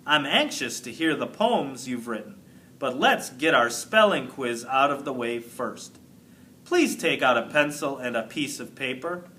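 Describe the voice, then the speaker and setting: A storybook woman schoolteacher, voiced by a man, patient but firm with the class. The delivery is bright and lively in pitch, with clear instructions given unhurriedly. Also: a little slow. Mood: brisk, instructing.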